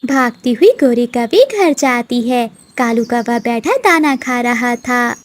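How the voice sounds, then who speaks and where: A woman's voice: a little high, animated, takes it slowly. A woman narrating a scripted children's cartoon story as its storyteller.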